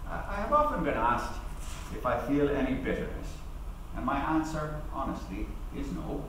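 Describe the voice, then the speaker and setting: An ageing labour activist played by a man addresses a hall in a theatrical, oratorical way. He is principled and defiant, with deliberate dramatic pauses. The voice rings with heavy echo, caught away from the mic with faint noise. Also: mid-range voice, far from the mic, lightly acted. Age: middle-aged. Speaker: actor giving a dramatic reading. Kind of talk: theatrical reading of a public speech. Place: lectern on stage in a large hall.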